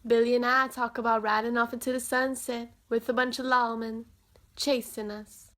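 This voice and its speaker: A woman's voice: on the high side, flat delivery, normal speed, a bit bright, lightly acted. An actress playing a young rancher's daughter who speaks dreamily about her sweetheart. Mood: dreamy.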